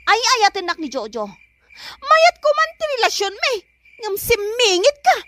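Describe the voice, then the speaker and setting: Shrill, jealous young Filipina lover. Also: female voice, very animated, normal speed, pushed and bright, slight background noise, exaggerated voice. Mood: shrill demanding. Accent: Ilocano. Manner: whiny, melodramatic. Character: pleading, jealous young woman fighting over her boyfriend. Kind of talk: Ilocano comedy radio drama acting.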